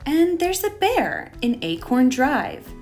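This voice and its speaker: A timid, eager little witch girl from a storybook, acted out by a woman reader. She speaks slowly and dramatically, with wistful exclamations, over faint music. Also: high voice, big pitch swings, a little mellow, voice pushed up, heavily acted. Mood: apprehensive.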